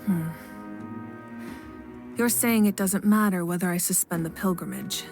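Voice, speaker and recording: A woman voicing a calm, authoritative female ruler of fire in a game, patient and measured. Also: on the low side, over music. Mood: composed. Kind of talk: game voice acting.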